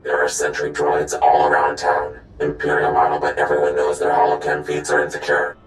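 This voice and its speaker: Very deep, echoing paranoid informant. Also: male voice, monotone, fairly quick. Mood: wary, irritated. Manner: terse, distrustful. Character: paranoid anonymous male informant, suspicious, demanding.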